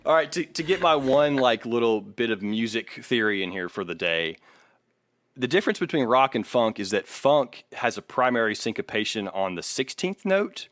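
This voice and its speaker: A man's voice: mid-range voice, big pitch swings, moderate pace, a bit bright, dry recording, clean studio sound. A man hosting a podcast, talking music casually in an analytical, opinionated way with some swearing. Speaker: podcast host. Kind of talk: casual music critique chat.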